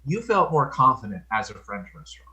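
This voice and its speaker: Earnest man in mid pitch. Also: very animated, fairly quick, sharp tone. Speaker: improv performer. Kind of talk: improvised scene and casual chat.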